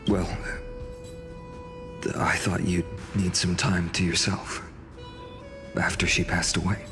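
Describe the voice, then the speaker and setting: A man's voice: low-pitched, little pitch movement, takes it slowly. A man playing an earnest, courteous young heroic leader in a game, composed and polite. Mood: courteous, gentle. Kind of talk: scripted game drama acting. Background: music.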